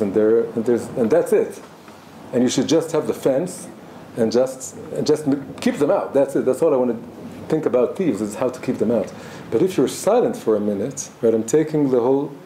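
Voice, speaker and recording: A man teaches in a very dark, mellow voice, speaking haltingly with many restarts as he thinks aloud. The room is noticeably echoey, with a faint hum of background noise. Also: medium pitch. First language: Hebrew. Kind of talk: reflective spoken class.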